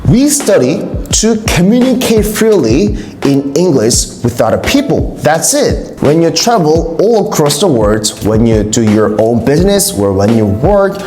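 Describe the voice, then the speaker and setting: A woman's voice: low voice, sing-song, unhurried, warm tone. A woman from South Korea with a Korean accent, a native Korean speaker, giving an emphatic English-learning monologue straight to viewers and repeating key points. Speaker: English teacher and video host. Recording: roomy echo, faint music.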